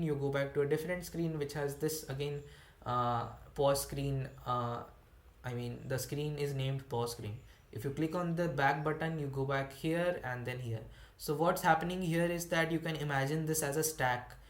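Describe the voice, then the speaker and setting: A young man with an Indian English accent leads an online coding workshop over a computer mic. His voice sits at an ordinary middle pitch. He explains step by step, with a lot of fillers and restarts, in a room with plenty of echo. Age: young adult. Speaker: student instructor. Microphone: computer mic on a video call.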